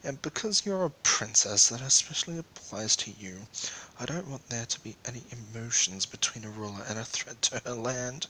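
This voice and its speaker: A man's voice: mid-range voice, moderate pace, sharp tone, a little hiss or hum, low-quality recording. A man voicing a stern, condescending royal pony mother in a story reading, scolding and imperious. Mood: frustrated.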